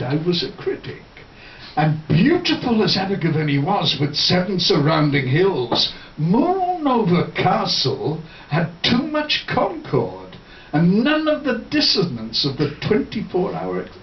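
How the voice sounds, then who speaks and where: Casting: male poet, high animated voice, theatrical and deliberate, reciting live, heard from far off in a bar. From Wales. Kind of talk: live poetry reading with spoken introduction. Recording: roomy, distant, muffled, faint noise.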